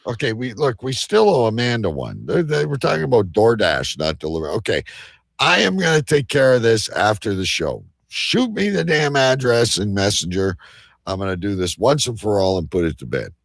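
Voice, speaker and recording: A man's voice: fairly low pitch, sing-song, moderate pace, creaky, no room sound, studio quality. A man hosting a casual panel chat, bantering in a blunt, teasing way. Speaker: show host.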